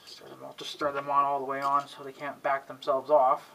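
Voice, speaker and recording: A man's voice: medium pitch, completely flat delivery, fairly quick, creaky, a bit bright, slight background noise. A man giving casual, offhand DIY vlog commentary, thinking aloud in a folksy way as he works. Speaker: amateur DIY video maker.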